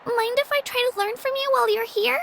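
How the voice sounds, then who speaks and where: A woman's voice: very high voice, steady tone, a little fast, creaky, a bit bright, a little hiss or hum, exaggerated voice. A woman voicing an earnest, humble young girl who hopes to become an adventurer, in a video game. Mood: admiring, eager. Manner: hesitant, humble, eager. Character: earnest, self-deprecating young girl, eager aspiring adventurer. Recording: background music under the voice.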